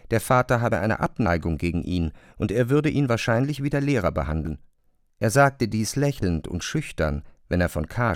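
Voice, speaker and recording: Low-pitched male narrator in a dry studio. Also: no echo. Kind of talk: literary radio play narration.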